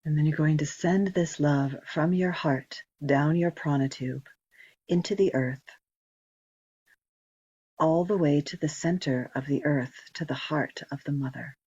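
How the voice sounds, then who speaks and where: A woman leading a guided meditation, giving slow, gentle instructions with long pauses in a soft, breathy voice. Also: deep voice, no room sound. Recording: dull, muffled lo-fi recording.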